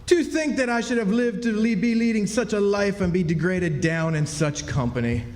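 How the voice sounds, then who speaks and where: A man's voice: high-pitched, moderate pace, darkish tone, close to an everyday voice. A man playing a florid, theatrical con-man drifter in an old-time radio drama, folksy and grandiose. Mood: theatrical self-pity. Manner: folksy, grandiose, hammy delivery. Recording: live stage in echoey hall.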